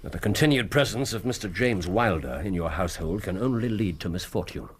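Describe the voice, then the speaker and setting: An actor playing a stern, shrewd Victorian gentleman detective in a radio drama, with an upper-class English accent and precise, authoritative speech. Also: low voice, lively intonation, brisk, slight background noise. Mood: measured, probing.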